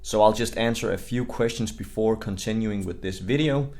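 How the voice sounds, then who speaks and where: Young Danish-accented male guide creator; lowish voice, laid-back, rambling delivery full of fillers. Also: moderate pace. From Denmark. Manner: relaxed, rambling, hedging with fillers. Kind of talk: casual game guide voice-over.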